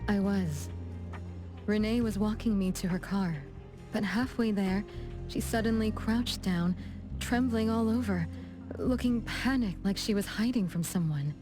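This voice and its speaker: A woman's voice: mid-range voice, measured pace, lightly acted. Woman as poised, gracious young lady. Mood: thoughtful. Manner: composed, polite, lightly teasing. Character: poised, gracious young woman of a prominent family, gently teasing. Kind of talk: game dialogue acting, storytelling. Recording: music behind the voice.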